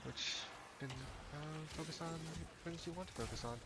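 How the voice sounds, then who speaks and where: A young man chats with his viewers while gaming, easygoing and often laughing. His voice has a gravelly edge, and a steady wash of game noise sits behind him. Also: fairly high pitch, moderate pace. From Hong Kong. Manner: chatty, laughs often, restarts words. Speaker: game streamer. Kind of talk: casual gameplay chat with viewers.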